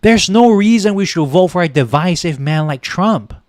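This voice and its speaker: A man's voice: sits high, lively intonation, normal speed, no echo, studio quality. A man hosting a political livestream, ranting with passion, repeating himself and thanking viewers in chat. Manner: impassioned, repetitive, thanks chat viewers by name. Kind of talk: heated political rant on a livestream.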